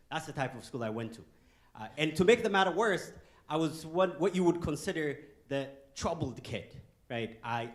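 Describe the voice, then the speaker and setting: A man from Ethiopia, giving a joking, animated motivational talk to a youth audience in a conference hall and throwing questions at the crowd. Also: fairly high pitch. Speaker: guest speaker.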